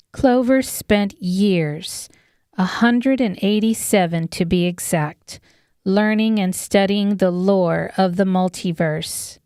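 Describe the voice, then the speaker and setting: A woman reads scripted fantasy narration for an audiobook. She speaks slowly in a clear, medium-pitched voice, with a very dry sound. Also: darkish tone, no echo.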